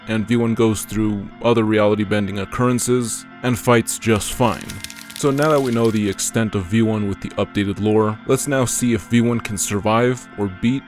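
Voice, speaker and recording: A man reading scripted narration for an analysis video in an explanatory, measured way. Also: on the low side, darkish tone. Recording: music behind the voice.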